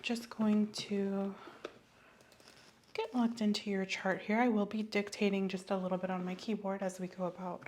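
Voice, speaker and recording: A soft-spoken woman playing a specialist doctor in an ASMR medical roleplay, explaining things politely and reassuringly and checking that the listener agrees. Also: medium pitch, flat delivery, normal speed. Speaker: ASMR host playing an endocrinologist.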